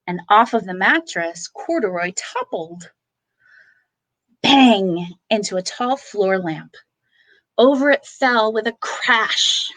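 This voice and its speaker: Slow, very expressive woman reading a bedtime story. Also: mid-range voice, poor audio. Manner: expressive, with dramatic pauses and emphasis. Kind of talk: bedtime picture-book reading for children.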